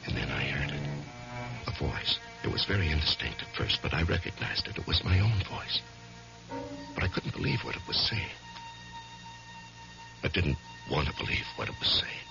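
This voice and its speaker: A low, creaky-voiced man, a haunted husband pleading in fear in an old radio play. His delivery swings dramatically, and the broadcast sound is dull. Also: huge pitch swings, normal speed, pauses often, music in the background, exaggerated voice. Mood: haunted, shaken. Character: anguished husband, haunted by voices, fearing murder. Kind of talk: 1970s radio drama acting.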